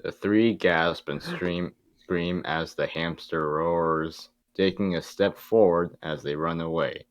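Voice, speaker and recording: A man's voice: low voice, slow, dry recording. A man narrating a script at a casual table read, reading out stage directions.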